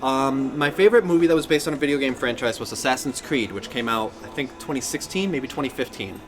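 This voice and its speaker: A man's voice: mid-range voice, fast talker. A man chatting enthusiastically and casually as co-host of a pop-culture podcast.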